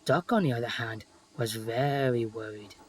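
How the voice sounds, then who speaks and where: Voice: medium pitch, normal speed, breathy edge. A narrator reading a scripted children's story, with music playing behind.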